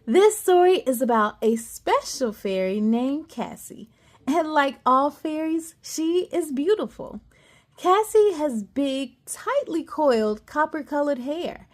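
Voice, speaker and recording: A woman storyteller reads a children's picture book aloud, her high voice rising and falling with lively expression. She takes an unhurried pace and breaks off for playful, chatty asides to her young listeners. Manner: animated, playful, gasps and comments to listeners.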